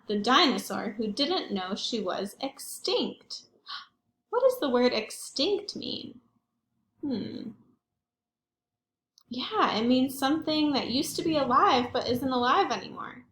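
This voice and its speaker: Higher-voiced woman reading to children, echoey room. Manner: addresses children directly with questions and asides. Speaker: children's librarian reading aloud. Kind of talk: read-aloud storytime for young children.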